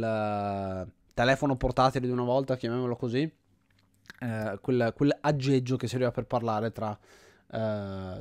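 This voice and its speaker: An Italian man gives casual commentary as he plays. His voice sits at an average male pitch and is recorded dry, with little room sound. He reasons out loud as he goes, often doubling back on his own words. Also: no room sound. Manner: thinks aloud, often repeating himself. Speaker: host of an indie game video series.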